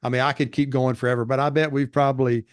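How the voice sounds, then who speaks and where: A man with a monotone voice, a real estate educator giving steady explanations in a casual interview. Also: mid-range voice, quick pace, clear, resonant tone. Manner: steady explanatory delivery with fillers.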